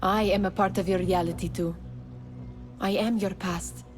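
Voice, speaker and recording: A woman's voice: medium pitch, fairly even intonation, moderate pace, faint music behind, lightly acted. An actress playing an insistent, taunting woman from someone's past in a video game, probing and intense. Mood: taunting, pleading. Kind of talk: dramatic video game acting.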